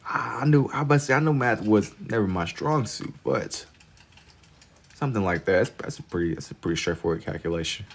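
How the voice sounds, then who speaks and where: A man in his twenties hosting a livestream, chatting casually with viewers in laid-back slang and getting loud and laughing when teased. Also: fairly low pitch, lively intonation, brisk. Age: 20s. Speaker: livestream host. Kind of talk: casual chat with viewers while drawing.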